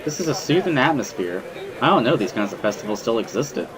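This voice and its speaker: A man's voice: mid-range voice, big pitch swings, fast talker, a crowd in the background, lightly acted, low-quality recording. A man playing a reflective, easygoing schoolboy who narrates a dramatic reading in the first person. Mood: reflective, content. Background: music.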